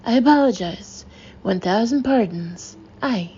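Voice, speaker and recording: A woman voicing an excitable male sea captain who talks loudly, keeps correcting himself and tacks "aye" onto his sentences. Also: fairly high pitch, a little slow, highs cut off, a little hiss or hum. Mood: indignant.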